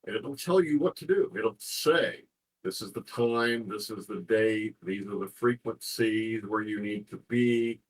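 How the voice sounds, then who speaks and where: A man with a husky voice talking informally as an interview guest, explaining things in a rambling way and repeating phrases as he goes. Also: lowish voice, a little slow, low-quality recording. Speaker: interview guest, ham radio contest organizer.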